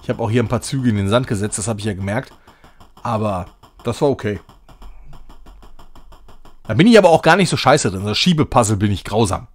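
A man's voice: fairly low pitch, animated, brisk, pauses often. A man doing casual, jokey live-stream commentary as he plays a game, chatty and self-ironic. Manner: jokey, chatty, self-ironic, slipping into mock-grand English.

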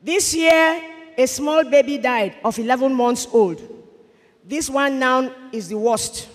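A woman's voice: high voice, slow pace. Cameroonian woman testifying in a reverberant hall. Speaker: witness testifying for a friend. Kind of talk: earnest narrated testimony. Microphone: handheld microphone held for her. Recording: echoey large church hall.

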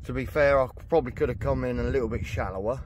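A man talks casually about his boat's chart plotter while out on the water, trailing off and correcting himself as he goes. His voice sits at a middle pitch. Outdoor noise from the water carries clearly behind him. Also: fast. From England. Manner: rambling, with fillers and self-corrections. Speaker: fishing vlogger. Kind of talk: casual gear review. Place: on a small boat outdoors.

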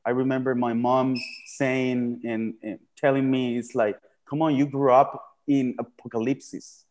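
A man from Colombia talks on a video call from a small room, his voice sitting at an ordinary middle pitch. He thinks aloud, stopping and restarting often and leaning on fillers as he works toward each idea. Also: little pitch movement, darkish tone. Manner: halting, many fillers and restarts. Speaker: panel guest. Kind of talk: reflective panel discussion over video call. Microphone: video call webcam.

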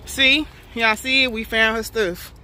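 A woman's voice: a little high, steady tone, unhurried, bright, forceful tone, a little hiss or hum. A young woman chatting playfully to her viewers while vlogging on a college campus, filming on a phone at arm's length outdoors. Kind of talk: casual vlog chat. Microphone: phone camera held at arm's length.

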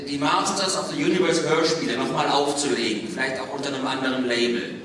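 A man's voice: medium pitch, steady tone, fast, fairly bright tone. Guy moderating a panel q&a, far from the mic, big hall reverb. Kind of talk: moderating audience questions. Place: on stage in a large dark hall. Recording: echoey, noisy hall from far off.

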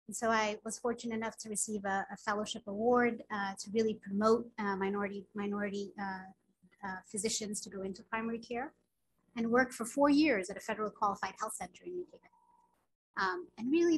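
A woman's voice: medium pitch, fairly quick, low-quality recording. Woman from sudan, arabic first language, giving an earnest lecture on a video call. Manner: earnest, personal storytelling with frequent filler words. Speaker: physician giving a grand rounds lecture. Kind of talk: academic lecture.

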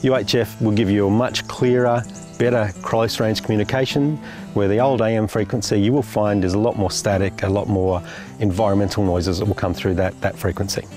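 A man's voice: lowish voice, steady tone, fairly quick, music in the background. An Australian man in his forties presenting an explainer to camera, stressing key terms. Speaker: company presenter.

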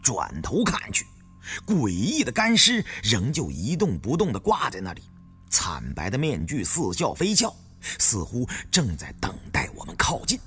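Male audiobook narrator, dramatic scripted storytelling, hugely varied intonation, medium pitch. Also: huge pitch swings, moderate pace, fairly bright tone, slightly rough, soft background music.

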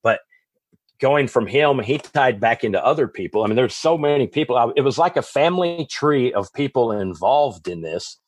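A man's voice: medium pitch, animated, normal speed, breathy edge, no room sound. A man with a Texas accent, a guest author giving long, rambling answers in a podcast interview, often restarting and correcting himself. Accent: Texan.

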